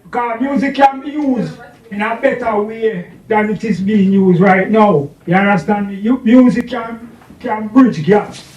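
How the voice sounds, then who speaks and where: A man with a Jamaican accent casually introducing himself to an audience, halting and repeating words as he goes. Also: sits high, darkish tone. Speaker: DJ and internet radio show host. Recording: dull, echoey, distant room microphone.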